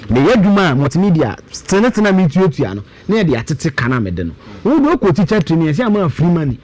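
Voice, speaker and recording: A man in his thirties with a Ghanaian accent speaks as a guest commentator. His voice sits fairly high and swings widely in pitch. He argues emphatically, repeating points and firing off rhetorical questions. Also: wildly swooping pitch, fast talker, a little mellow. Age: 30s. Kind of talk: budget commentary on a radio talk show.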